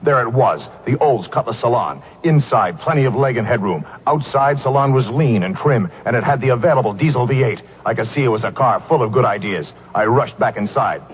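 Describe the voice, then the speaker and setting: An actor playing a deadpan, clipped detective-style narrator in a vintage radio commercial. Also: male voice, mid-range voice, brisk, hardly any pauses, natural voice. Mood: dry, brisk. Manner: clipped, matter-of-fact. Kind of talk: 1970s radio ad, detective parody. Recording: dull old radio recording.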